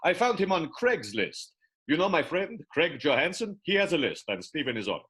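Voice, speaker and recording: A man playing a suave, pompous gentleman villain in an improvised radio soap, husky and theatrical with hesitant hemming. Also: a little high, very animated, fairly quick, exaggerated voice.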